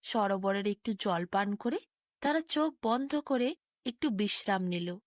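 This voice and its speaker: A woman's voice: medium pitch, expressive, unhurried, no echo, studio quality. A woman narrating a bedtime fairy tale as a storyteller.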